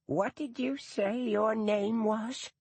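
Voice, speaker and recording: Man voicing an elderly woman, retired teacher, stern, prim diction, slow, high pitched, husky, dry sound. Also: steady tone, darkish tone, no echo, heavily acted. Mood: dour.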